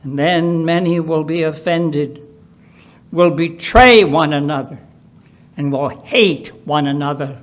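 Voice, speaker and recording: A man with a notably high-pitched voice reads from the Bible at a very slow pace. His delivery is solemn and deliberate, setting phrases apart with pauses and leaning on them for emphasis. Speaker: Bible reader at a church. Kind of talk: solemn scripture reading.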